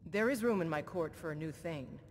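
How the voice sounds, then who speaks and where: A man's voice: expressive, lightly acted. A man playing an old soldier jarl. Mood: formal. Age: older. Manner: measured, formal and commanding. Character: older male jarl, a former soldier, stern and dutiful. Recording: game audio with long reverb and faint rumble.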